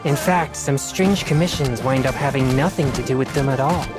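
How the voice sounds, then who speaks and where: A man voices a disciplined teenage exorcist boy from a fantasy video game. His young, soft-edged voice is formal and measured, with an earnest air that is easily flustered. Music plays clearly behind him. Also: medium pitch, close to an everyday voice. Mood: earnest, composed. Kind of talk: scripted video game character dialogue.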